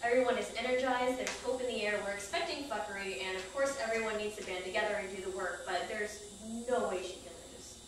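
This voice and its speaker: A woman's voice: mid-range voice, steady tone, fairly quick, few pauses. A woman reading a scripted personal account aloud on a small theater stage. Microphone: far camera microphone. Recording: echoey, noisy, distant stage recording.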